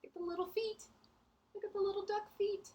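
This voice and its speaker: A woman's voice: very high-pitched, steady tone, a little fast, dark tone. A woman teacher reading a picture book aloud to young children, expressively, with asides and questions to her listeners.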